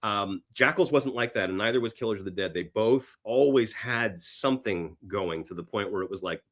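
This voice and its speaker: A man's voice: on the low side, moderate pace, fairly bright tone. A man being interviewed, telling stories casually in a rambling way and acting out imagined bits of dialogue. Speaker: podcast guest, screenwriter.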